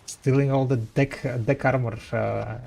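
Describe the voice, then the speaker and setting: A man's voice: medium pitch, fairly even intonation, vocal fry, darkish tone, a little hiss or hum. Rambling man with a light Russian accent. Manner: rambling, halting, many restarts. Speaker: game streamer. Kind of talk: casual chat with viewers.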